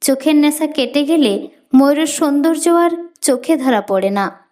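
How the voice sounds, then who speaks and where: A woman's voice: high-pitched, moderate pace, dark tone, natural voice. A woman voicing a hurt, dignified, disillusioned crow in a storybook fable, with a Bengali accent. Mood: hurt, resolute.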